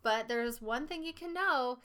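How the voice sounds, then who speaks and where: A woman's voice: high-pitched, lively intonation, unhurried, a bit bright, smooth. A woman teacher reading lesson material aloud and explaining it, with casual asides. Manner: instructional, explanatory.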